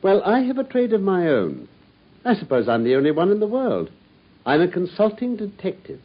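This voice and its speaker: An actor playing a brilliant, self-assured detective in a radio play, precise and lightly condescending, on a dull lo-fi broadcast recording. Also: male voice, sits high, wildly swooping pitch, normal speed, heavily acted. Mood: explaining. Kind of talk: 1950s-style BBC radio drama acting.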